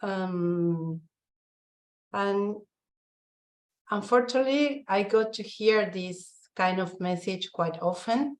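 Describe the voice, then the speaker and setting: Woman, Argentine accent, slow, hesitant, non-native English, middle pitch, video call talk. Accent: Argentine Spanish. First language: Spanish. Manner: halting, searching for words in English. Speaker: guest speaker, visual artist. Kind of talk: online summit presentation.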